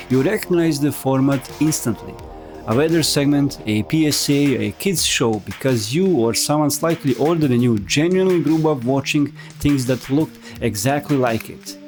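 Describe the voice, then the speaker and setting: A man's voice: mid-range voice, a little mellow. A man narrating a scripted explainer as a video essayist, with wry, conversational asides. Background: music.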